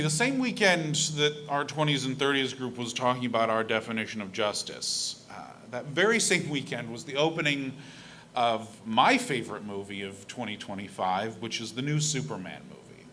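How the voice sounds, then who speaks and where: A young man with a bright, forceful voice gives a personal reflection at a church service. He talks in an earnest, conversational way with the odd filler, into a pulpit microphone in a church sanctuary with faint room noise behind him. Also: mid-range voice. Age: young adult. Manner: earnest, conversational, with fillers and a self-deprecating laugh. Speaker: lay speaker at a church service.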